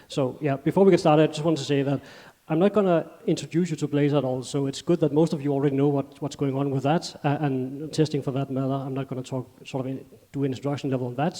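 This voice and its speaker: A man's voice: medium pitch, warm tone. A man with a Danish accent giving a technical conference talk on stage, in a conversational way with loose phrasing and self-corrections. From Denmark. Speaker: conference speaker. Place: conference stage in a large hall. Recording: long hall reverb.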